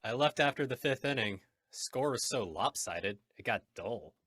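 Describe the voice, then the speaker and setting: A man's voice: mid-range voice, expressive, moderate pace, fairly bright tone, slightly rough, dry recording. A man playing an offhand but firm newspaper boss in an old-time radio drama. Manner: offhand, authoritative.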